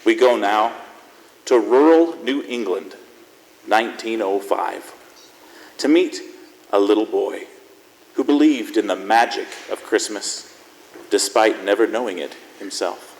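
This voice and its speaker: A man's voice: fairly low pitch, measured pace, creaky, pauses often. A man giving scripted narration as announcer of a radio drama. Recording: echoey hall with long reverb.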